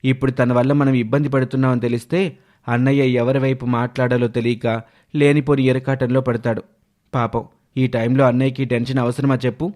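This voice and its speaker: A man's voice: mid-range voice, level tone, brisk, darkish tone, close to an everyday voice. A man playing a cautious, worried young brother in a moral story cartoon. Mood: anxious, hushing. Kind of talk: animated moral story voice acting.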